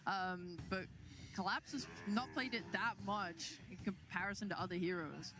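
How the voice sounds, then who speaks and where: A woman's voice: medium pitch, moderate pace, background noise. A woman talking casually through team strategy as an analyst on an esports panel.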